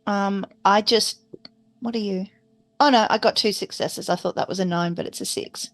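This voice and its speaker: A woman's voice: mid-range voice, steady tone, normal speed, a bit bright, no echo. A woman talking casually as a player during a tabletop role-playing game.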